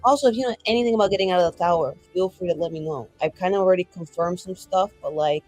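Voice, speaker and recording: Tabletop player, informal and playful, improvised game talk, medium pitch, light music bed. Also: fast talker, a little mellow. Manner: informal, playful, salty language. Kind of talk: casual improvised game chat, speaking in character and narrating actions.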